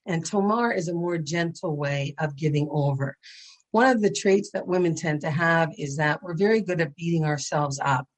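A woman with a raspy voice giving a gentle, earnest spiritual class over a video call. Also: low-pitched, normal speed. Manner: earnest and gentle, with frequent ums. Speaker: Torah educator and speaker.

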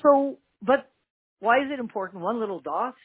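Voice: expressive, normal speed, slightly rough, dry recording. Canadian-accented teacher on a tinny line. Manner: emphatic, repeats key words, sudden pitch jumps. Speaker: Torah teacher. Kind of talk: religious lesson on a text. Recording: tinny phone-like line, dry.

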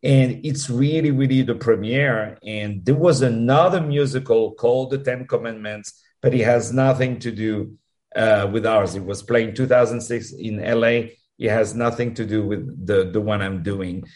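A man with a French accent, a guest answering interview questions in a halting, effusive way with many restarts. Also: mid-range voice. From France. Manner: halting, repeats words, warm and effusive. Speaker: musical producer guest.

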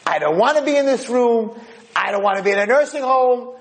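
A man's voice: on the low side, moderate pace, lightly acted. A man voicing a miserable, angry old blind man in a spoken story, given to screaming complaints. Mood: angry, wailing.

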